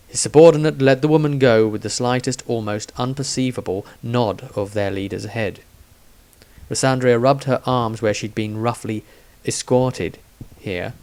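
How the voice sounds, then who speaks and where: A man's voice: medium pitch, darkish tone. A man reading scripted narration of a fantasy story as its narrator. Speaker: author narrator.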